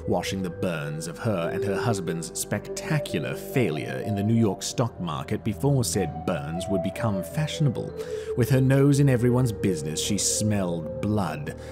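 An actor playing a haughty, brooding detective in an audio drama, with ornate, theatrical diction. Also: male voice, deep voice, full character voice. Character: haughty, brooding male detective investigating a village murder. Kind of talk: dramatic audio novel narration and dialogue.

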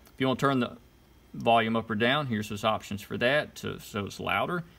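A man's voice: medium pitch, brisk, a bit bright. A man giving an informal how-to demonstration, talking through each step in short, casual instructions. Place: indoors at a desk. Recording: clear background noise, clicks and beeps. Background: button clicks and electronic beeps.

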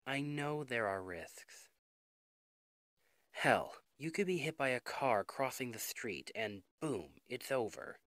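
Casting: male actor as worried, devoted young boyfriend for intimate ASMR roleplay; earnest, halting, unhurried, with wide pitch swings. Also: expressive, a bit bright, dry recording, clean studio sound. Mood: subdued, tender. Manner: earnest, emotional, halting delivery.

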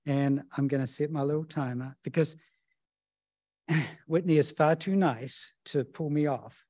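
A man with a New Zealand accent gives a relaxed conference talk, tossing in quick asides and tag questions. His voice sits fairly high for a man, and the sound is close and dry. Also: no echo. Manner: casual, chatty, tag questions. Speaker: professor of epidemiology, presenter. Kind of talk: conference presentation.

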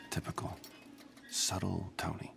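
A man playing a weary, reluctant, mild-mannered hero in a video game, halting and dry, with a gravelly voice. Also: low-pitched, background noise, full character voice.

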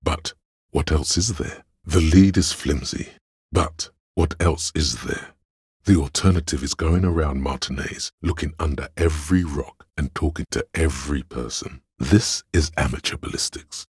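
The cool inner voice of logic in a video game. The voice is exceptionally low and very breathy-husky, recorded close and dry. It reasons in a deliberate, measured way, with a sardonic, analytical edge. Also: male voice, no room sound, heavily acted. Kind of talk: scripted game narration.

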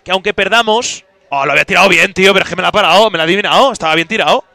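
A man talks casually to his stream viewers through a headset mic, teasing and chatty. His voice sits high and bright, smooth and clean. The sound is close and dry, with no room around it. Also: racing, dry recording.

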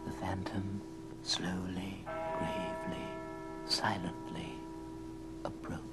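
A man narrating an old radio drama as a dramatic storyteller, with theatrical, deliberate phrasing. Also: deep voice, huge pitch swings, heavily acted. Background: music.

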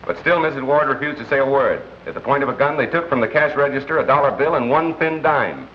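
An actor playing a wry, measured male radio crime newscaster in an old-time drama, with dry wit. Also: mid-range voice, expressive, lightly acted. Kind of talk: old-time crime drama acting with broadcast announcer delivery. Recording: dull, noisy old film-era soundtrack.

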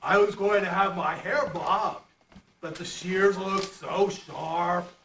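A man playing a cowardly, affectionate lion in a puppet show, with a slow, plaintive delivery. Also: high-pitched, steady tone, a bit bright, heavily acted. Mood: apologetic. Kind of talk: amateur puppet-show acting. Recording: distant echoey noisy home video.

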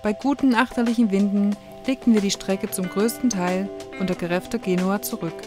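A woman's voice: mid-range voice, fairly even intonation, a little fast. A woman reading a scripted travel narration for a vlog. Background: music.

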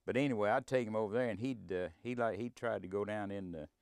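A man's voice: fairly low pitch, fast, vocal fry, darkish tone, clear, resonant tone, no echo, clean studio sound. An older man, reminiscing casually as an interviewee.

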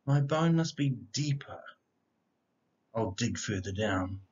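A man's voice: fairly low pitch, lively intonation, slow pace, darkish tone, breathy edge, dull audio, pitched below the performer's own voice, full character voice. A man voicing a dim-witted, hopeful male dog from a picture book, muttering earnestly to himself.

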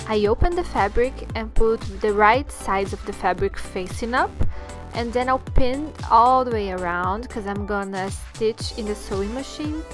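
A young woman from Brazil with a Brazilian Portuguese accent, talking through a sewing tutorial in her second language with chatty, slightly halting phrasing. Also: on the high side, over music. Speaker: sewing channel host. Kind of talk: friendly sewing tutorial talk and voiceover.

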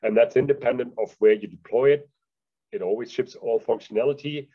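A man answering a licensing question in a webinar in a relaxed, conversational way. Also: mid-range voice, fairly quick, lo-fi sound. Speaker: database vendor representative.

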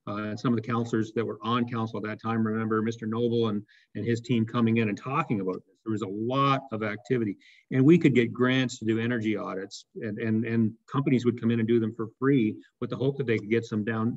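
A man on a video call walks the council through a plan, explaining patiently with plenty of restarts and filler words. His voice sits in the middle of the male range. The call audio is dull and lo-fi. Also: mid-range voice. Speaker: municipal staff member. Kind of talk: answering council questions.

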